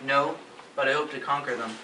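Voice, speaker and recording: Man as resolute revolutionary, declamatory, mid-pitched, amateur stage drama, faint background noise. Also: steady tone, fairly quick, natural voice. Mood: determined. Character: resolute, fervent French revolutionary plotter. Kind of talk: amateur stage-play acting. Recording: distant echoey amateur recording, faint noise.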